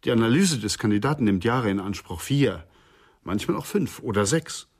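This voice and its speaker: A man's voice: on the low side, animated, moderate pace, slightly rough. An actor playing an earnest psychoanalyst in a German radio crime drama, speaking formally and precisely, with reverence for his profession. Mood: earnest, reverent.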